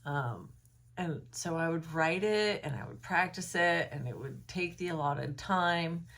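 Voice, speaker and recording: A woman's voice: low voice, a little slow, a bit bright. A young woman talking alone to her phone camera in a room at home, thoughtful and hesitant, with many ums and likes and a slightly froggy voice. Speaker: vlogger. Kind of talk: unscripted reflective talk to camera.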